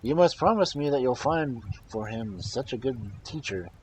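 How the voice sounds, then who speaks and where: A man's voice: mid-range voice, lively intonation, moderate pace, darkish tone, slight background noise, voice pushed up, close to an everyday voice, rough recording. Man reading as insistent, emphatic peasant wife. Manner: firm, insistent.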